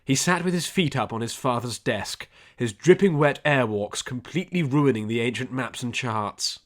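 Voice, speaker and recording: Guy reading an adventure story out loud, dramatic audiobook narration, middle pitch. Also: normal speed.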